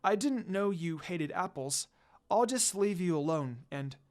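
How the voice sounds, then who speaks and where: A man's voice: high voice, animated, a little slow. A man voicing a shy, polite young princess with a nervous, apologetic manner in a story reading. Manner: nervous, apologetic, soft-spoken.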